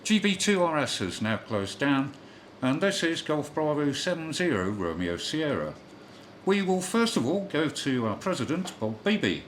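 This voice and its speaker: Guy reading out a ham radio bulletin, pretty animated, some noise behind him. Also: a little high, unhurried. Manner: formal read-aloud delivery, spelling out call signs. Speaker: radio news bulletin reader. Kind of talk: scripted amateur radio news bulletin.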